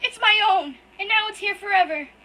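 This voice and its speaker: Sobbing, high-pitched furry boy read by a woman, loud and shrill, raw betrayal. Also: fairly quick, distant mic, rough recording. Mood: sobbing anguish. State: crying.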